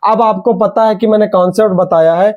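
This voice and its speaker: A man's voice: sits high, fairly even intonation, brisk, narrow, tinny audio. A young man with a sore throat teaching an online exam reasoning class, urging students on and repeating key words.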